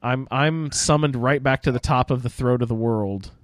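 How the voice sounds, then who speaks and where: A man's voice: medium pitch, moderate pace, no room sound, studio quality. A man hosting a casual roundtable discussion about a video game, asking questions and inviting others to weigh in. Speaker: podcast roundtable host.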